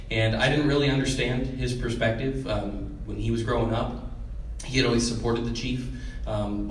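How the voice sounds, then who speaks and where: A young man from Illinois with a loud speaking voice, giving a measured public presentation as a society president, with frequent "uh" and "um". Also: lowish voice, slight background noise. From Illinois, United States. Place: meeting room at a public event. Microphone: handheld mic held far away. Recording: echoey room, voice distant from mic.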